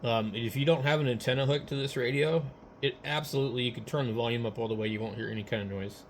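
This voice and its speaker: A man in a casual technical chat as a ham radio operator, speaking haltingly as he thinks aloud. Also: medium pitch, fairly even intonation, very noisy.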